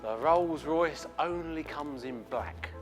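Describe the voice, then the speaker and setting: A chatty prisoner. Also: male voice, a little high, takes it slowly. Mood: boastful. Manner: cheeky, rambling, drops to a mutter. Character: chatty cockney male prisoner, cheeky car thief. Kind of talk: comic scripted sketch. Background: faint music.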